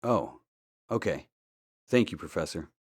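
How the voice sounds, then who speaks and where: An actor playing a polite, earnest amateur investigator in an adventure game, speaking in a courteous, measured way. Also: male voice, sits low, expressive, breathy edge, heavily acted. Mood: guarded, courteous. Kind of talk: scripted point-and-click game dialogue. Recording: music under the dialogue. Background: background music.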